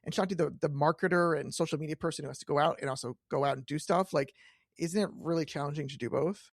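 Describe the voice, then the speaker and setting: Guy hosting a podcast, creaky voice, really dry sound, chats casually and restarts sentences a lot. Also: fairly high pitch, quick pace, no room sound. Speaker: podcast host, interviewer. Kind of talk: casual chat.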